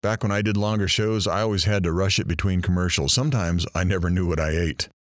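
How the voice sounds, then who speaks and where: A sympathetic show host, acted by a man in an audio drama. His voice sits low and sounds dry, with no room around it. He questions attentively, gently prompting the story along. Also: quick pace, dry recording, close to an everyday voice. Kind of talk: audio drama acting, interview.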